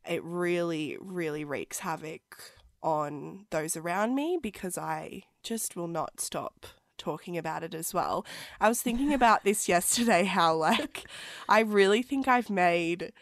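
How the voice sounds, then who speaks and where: A woman's voice: on the low side, unhurried, dry recording, studio quality. A woman co-hosting a podcast in casual, chatty, self-mocking talk, laughing often and nursing a cold. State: has a cold. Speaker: podcast co-host. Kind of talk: casual chat.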